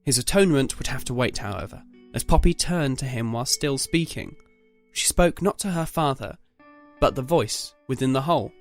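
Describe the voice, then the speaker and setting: A man's voice: mid-range voice, lively intonation, moderate pace, faint music behind. A man narrating a scripted dramatic story.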